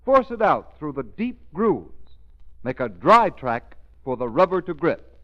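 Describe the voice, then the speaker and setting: A man's voice: fairly high pitch, big pitch swings, measured pace. An American man working as a 1930s radio announcer, reading an advertisement with an emphatic salesman's delivery. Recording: muffled 1930s radio recording.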